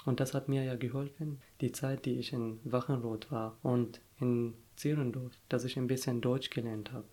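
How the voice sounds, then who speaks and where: A young adult man, an Arabic native speaker, talks through his experiences as an interviewee. He speaks haltingly with many fillers, stopping to find the right words. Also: mid-range voice, little pitch movement, mellow. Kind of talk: casual personal story.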